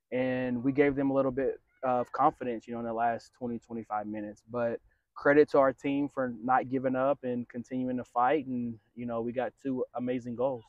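Steady male soccer coach, flat delivery. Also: medium pitch, no echo, highs cut off. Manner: measured, steady, frequent fillers. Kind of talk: post-game interview. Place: outdoor soccer field at night.

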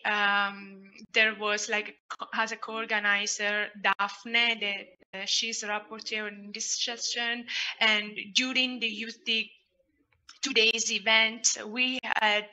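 A woman's voice: a little high, sharp tone, low-quality recording. A young Italian woman whose first language is Italian presents a youth program on a video call, speaking over a headset, hesitant and full of fillers. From Italy. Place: small room indoors.

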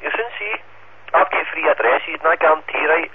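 A man's voice: fairly high pitch, lively intonation, brisk, husky. A Scottish man chatting casually as an amateur radio operator, confrontational and swearing heavily, over a two-way radio. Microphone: radio transceiver. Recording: tinny band-limited radio link, static noise.

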